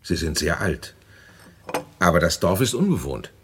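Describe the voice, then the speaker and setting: An actor playing a sober, matter-of-fact older gentleman in a radio drama, with a husky, low voice. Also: very animated, moderate pace, a little hiss or hum. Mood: sober, informative.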